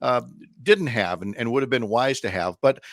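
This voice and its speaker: A man's voice: medium pitch, normal speed, no echo, clean studio sound. A middle-aged man from the American Midwest with an American accent, hosting a conversational radio interview and often reworking his sentences as he goes. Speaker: radio show host, interviewer.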